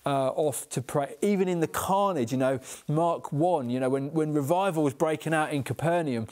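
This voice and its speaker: A man in his thirties speaks earnestly as a studio guest, with a preacher's cadence and stumbling repeats as he starts each thought. His voice sits somewhat high for a man. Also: moderate pace, a little mellow. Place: TV studio set.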